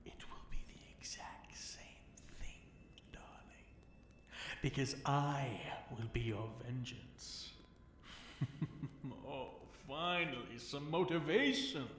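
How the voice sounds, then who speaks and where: Man playing a slow, echoing, possessive fallen king. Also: medium pitch, lively intonation, a bit bright. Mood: gleeful, wry. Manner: slow, teasing, commanding, with drawn-out words and whispers. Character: possessive fallen dragon king, amused, seductive, menacing. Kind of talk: intimate ASMR fantasy audio roleplay. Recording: roomy echo, faint background noise.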